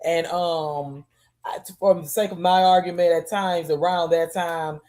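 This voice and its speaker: A woman, relaxed, telling stories on a casual fan chat and repeating words for emphasis. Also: on the low side, a little slow. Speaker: podcast co-host.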